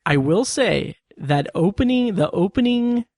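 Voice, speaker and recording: A man co-hosting a podcast in casual chat, halting and searching for words, his voice getting hoarse. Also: high voice, expressive, a little mellow, no echo, clean studio sound. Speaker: podcast co-host.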